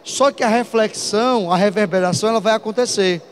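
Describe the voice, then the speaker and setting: A man teaching an exam-prep physics lesson, talking straight to his students with lively asides. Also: high voice, fairly quick, a little hiss or hum.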